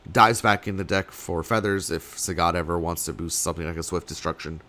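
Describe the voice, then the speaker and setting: A young man, slightly sick and losing his voice, casting a card game tournament alone in rambling play-by-play full of fillers, with sudden bursts of excitement. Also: deep voice, brisk. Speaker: tournament caster. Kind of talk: solo play-by-play commentary.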